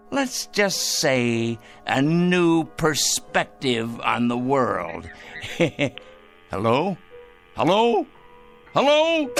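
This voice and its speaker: A paranoid crank in a radio drama, gloating and rambling to himself with theatrical relish. His bright, forceful voice swings wildly in pitch over a light music bed. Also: gravelly, heavily acted. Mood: self-important, insistent, then bitter, paranoid. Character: gleeful paranoid male crank plotting a moral purge.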